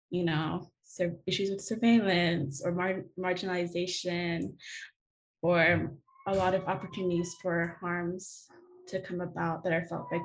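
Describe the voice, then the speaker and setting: A young woman answering interview questions in a thoughtful, hesitant way, with many pauses and fillers. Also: lowish voice, poor audio. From United States. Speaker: guest.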